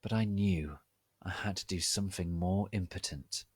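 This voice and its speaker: A man giving a theatrical dramatic reading as narrator, with deliberate, mock-solemn, melodramatic emphasis. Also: deep voice, a little mellow, slightly rough, no echo. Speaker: narrator reading fan fiction aloud.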